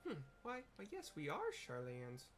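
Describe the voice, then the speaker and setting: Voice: medium pitch, wildly swooping pitch, unhurried, slight background noise. A man voicing an upbeat, chatty young woman adventurer who is easily miffed in a fantasy game. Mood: cheerful, chatty. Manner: chatty, exclaiming.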